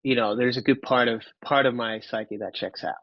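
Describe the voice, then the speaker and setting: A man, telling his personal story in an interview, candid and halting, often repeating words. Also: mid-range voice, no echo, highs cut off. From Finland. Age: 30s. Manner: candid, casually profane. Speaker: podcast guest.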